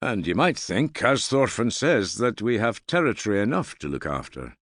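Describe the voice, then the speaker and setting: A man voicing a sober, loyal Norse counsellor in an audiobook, measured and blunt. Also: lowish voice, big pitch swings, a bit bright, no room sound, studio quality, voice pushed up, full character voice. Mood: sober, reasoning. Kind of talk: audiobook character dialogue.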